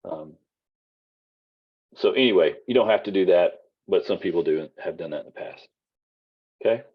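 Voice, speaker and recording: A man teaching an online class in a loose, rambling way with lots of fillers, his voice husky and giving out. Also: low voice, normal speed, phone-quality sound. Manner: casual, rambling, many fillers and restarts. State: losing his voice. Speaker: college instructor.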